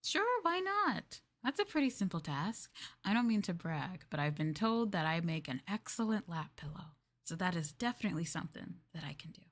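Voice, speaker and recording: A woman voicing a polite, eager young maid in an improvised roleplay ASMR stream, speaking softly and a little hesitantly to her master. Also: fairly low pitch, big pitch swings, moderate pace. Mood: gently agreeable. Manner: soft, polite, hesitant, apologetic, eager to please. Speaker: VTuber streamer playing a maid for live chat.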